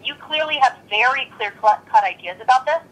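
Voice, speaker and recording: A woman's voice: sits high, expressive, moderate pace, a bit bright. A blunt, exasperated woman arguing on a recorded telephone call. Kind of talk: tense phone argument.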